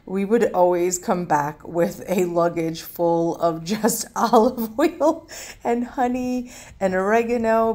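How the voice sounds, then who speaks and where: A woman's voice: fairly low pitch, dark tone. A woman from the United States, a travel vlogger, narrating a walking tour in a chatty, casual way with many fillers.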